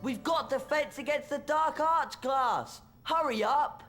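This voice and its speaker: High-pitched, excitable schoolboy. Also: male voice, a little slow, faint music behind. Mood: urgent. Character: excitable, eager schoolboy wizard. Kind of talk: video game cutscene acting.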